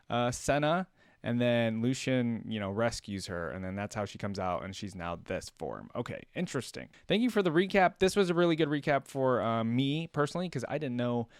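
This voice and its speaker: A man's voice: mid-range voice, clean tone, no echo, studio quality. A man giving casual, off-the-cuff reaction commentary as a video host, thinking aloud and poking fun at himself.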